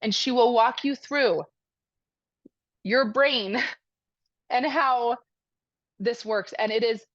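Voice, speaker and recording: A woman's voice: on the high side, takes it slowly, no echo, muffled recording. Chatty woman host closing a call. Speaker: team leader and call host. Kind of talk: casual talk wrapping up a video call.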